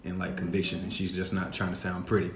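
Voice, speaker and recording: A man's voice: low-pitched, monotone, fast. A man guest-hosting a podcast in a casual, playful way, often speaking to his listeners as y'all. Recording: echoey room with faint noise.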